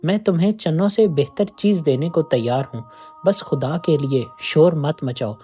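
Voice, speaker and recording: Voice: medium pitch, moderate pace, warm tone, faint music behind, close to an everyday voice. A storyteller voicing a grumpy but generous male river demon in a storybook tale, exasperated and commanding. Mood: placating.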